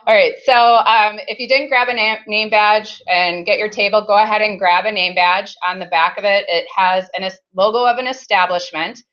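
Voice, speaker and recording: A woman's voice: mid-range voice, fairly even intonation, moderate pace, hardly any pauses, fairly bright tone. Chatty woman hosting a workshop, lo-fi. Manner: casual, chatty, many ums. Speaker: conference session host. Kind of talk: opening remarks at a workshop. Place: conference room with tables. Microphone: handheld mic with faults.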